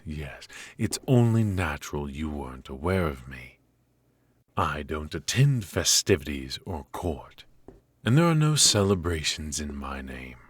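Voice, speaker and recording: Casting: male actor as aloof, commanding royal mage mentor, fantasy audio roleplay. Bottomless husky register, unhurried formal delivery, stern temper. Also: rumbling low, no echo, heavily acted. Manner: formal, imperious, measured. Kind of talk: scripted ASMR fantasy roleplay.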